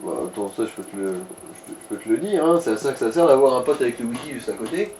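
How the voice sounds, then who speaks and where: A man's voice: medium pitch, animated, fast, darkish tone, slight background noise, rough recording. A man chatting casually as co-commentator on a video game, advising the player in slangy, mildly crude language. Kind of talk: casual gaming chat.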